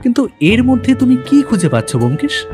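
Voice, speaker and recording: A man playing a detective's curious male companion in an audio drama, asking questions in an eager, animated way. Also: very high voice, fast talker, pitched above the performer's own voice. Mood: puzzled. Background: background music.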